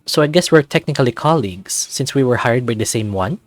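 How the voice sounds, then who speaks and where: A friendly young lifeguard hero from a story game, voiced by a man, talks in a chatty, inquisitive way. The voice is mid-pitched, with light music underneath. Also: animated, fairly quick, dry recording, close to an everyday voice. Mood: curious.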